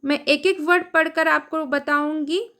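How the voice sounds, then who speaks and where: A woman with an Indian accent, reading an English story aloud slowly and translating it word by word into Hindi as a teacher. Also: high voice, steady tone, bright, forceful tone. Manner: reads word by word, translating each.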